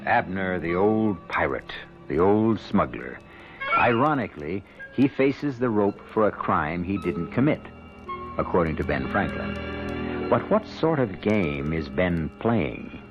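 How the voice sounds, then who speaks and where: A man's voice: deep voice, big pitch swings, takes it slowly. A man hosting a 1970s radio mystery with theatrical, portentous scripted narration full of rhetorical questions. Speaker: radio drama host. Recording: dull, band-limited 1970s radio broadcast. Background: dramatic music.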